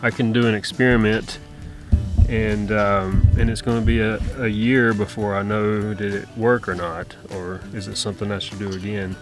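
A man in his thirties speaking slowly and thoughtfully outdoors on his homestead, often repeating words. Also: lowish voice, little pitch movement, music in the background. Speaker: homestead vlogger. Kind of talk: casual chat.

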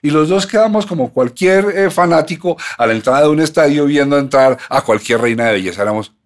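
A man's voice: fairly high pitch, animated, a little fast. An older man hosting a podcast, talking with enthusiasm and stammering restarts in a small studio. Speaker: podcast host and writer. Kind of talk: casual podcast conversation. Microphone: studio mic on stand.

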